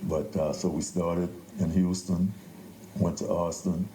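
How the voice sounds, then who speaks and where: An older man, a playwright talking reflectively and haltingly as an interview guest. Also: low voice, level tone, warm tone. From New Orleans, United States. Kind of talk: conversational interview. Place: echoey indoor theater. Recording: echoey room, faint background noise.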